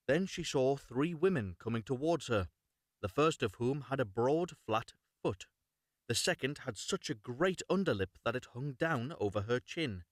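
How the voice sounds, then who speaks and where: A man reading a storybook aloud live, expressive, with chatty asides and self-corrections. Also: mid-range voice, dry recording, studio quality. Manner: stumbles and corrects himself, expressive. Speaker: reader of fairy tales, host.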